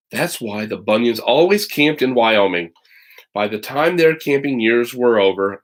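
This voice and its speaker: A man reading a children's story aloud as a teacher would, animated and chatty, slipping into casual asides. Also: medium pitch.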